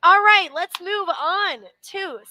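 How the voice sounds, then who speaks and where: Animated young woman, bright voice. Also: extremely high pitch, slow pace, no echo. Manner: animated, stumbles over words. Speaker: sports show co-host. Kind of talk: casual sports talk, leading segments.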